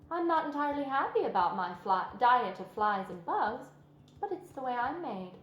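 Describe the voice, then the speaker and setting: A woman's voice: high-pitched, a bit bright, pitched above the performer's own voice. A woman voicing a clever, unapologetic female spider in a storybook, with a thin, pleasant voice and matter-of-fact self-assurance. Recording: roomy sound with music underneath.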